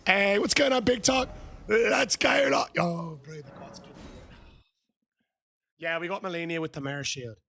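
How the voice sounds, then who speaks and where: An Irish man hosting a live gaming stream, his voice hoarse and worn from shouting. His tone is gravelly and sits somewhat high for a man. He chats loosely and excitedly with viewers, now and then erupting into loud, happy shouts. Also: moderate pace, a little hiss or hum. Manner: excitable, bursts into shouting while thanking viewers. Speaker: gaming livestreamer. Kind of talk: casual live-stream chat.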